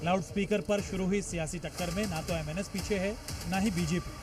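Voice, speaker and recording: A man's voice: high-pitched, fairly even intonation, brisk, over music. An Indian man reading a scripted news report as a reporter's voice-over. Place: voice-over with music bed behind.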